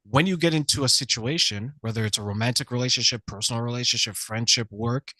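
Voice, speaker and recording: A man's voice: mid-range voice, fast talker, pushed and bright, no echo. Man hosting an interview, dry close sound. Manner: conversational, long run-on questions. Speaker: podcast host. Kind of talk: interview questions and commentary.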